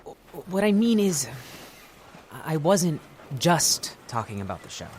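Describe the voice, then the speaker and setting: A man's voice: a little high, big pitch swings, measured pace, a little mellow, a little hiss or hum. An actor playing an awkward, lovestruck, worrying young theater crewman in an audio drama, hesitant and sheepish. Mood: flustered, sheepish.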